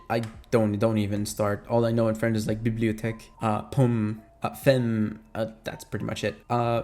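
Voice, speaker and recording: A man whose first language is Tagalog talks in a medium-pitched voice. He is answering fan questions in a casual, chatty way. His manner is candid and playful, and he swears freely. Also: a little mellow. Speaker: ASMR audio creator answering fan questions. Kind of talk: casual Q&A chat.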